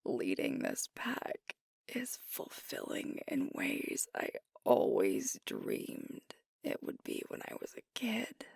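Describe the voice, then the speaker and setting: A woman playing a young, earnest, self-doubting alpha werewolf pack leader in an intimate ASMR roleplay, speaking softly and hesitantly in a breathy half-whisper. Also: a little high, huge pitch swings, no echo, studio quality, heavily acted. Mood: wistful. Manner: hesitant, confiding, slow and reflective. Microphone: close mic, dry.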